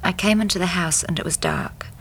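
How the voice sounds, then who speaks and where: An actress playing an earnest, troubled young wife in a radio drama, well-spoken and politely insistent. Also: female voice, lowish voice, wildly swooping pitch, fairly quick, a little hiss or hum. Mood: haunted reading.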